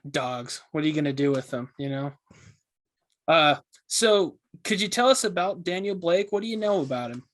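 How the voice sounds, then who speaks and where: A man playing an eager, curious podcast host who asks questions in an earnest, excitable, conversational way. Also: fairly high pitch, a little slow. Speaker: podcast host interviewing a guest. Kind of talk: conversational scripted podcast interview.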